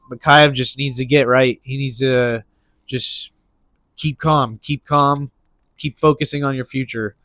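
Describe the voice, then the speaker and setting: A man's voice: on the high side, fairly bright tone, no echo. A man with a stuffy nose hosting casual solo sports talk, rambling with lots of restarts and fillers. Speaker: MMA commentary channel host.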